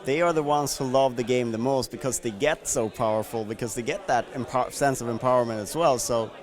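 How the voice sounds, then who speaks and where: A man's voice: medium pitch, fairly quick. Man asking rambling interview questions. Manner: loose, rambling questions with repeated words.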